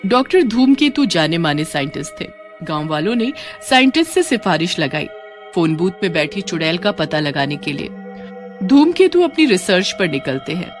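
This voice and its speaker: A woman's voice: lowish voice, very animated, moderate pace, faint music behind. A woman reading scripted horror story narration as the narrator.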